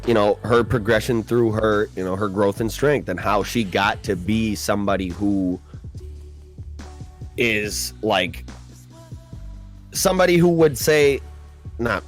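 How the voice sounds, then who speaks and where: A man's voice: fairly low pitch, unhurried, pauses often, soft background music. A man co-hosting a casual podcast, laid-back and rambling with frequent swearing. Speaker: podcast co-host. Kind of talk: casual chat.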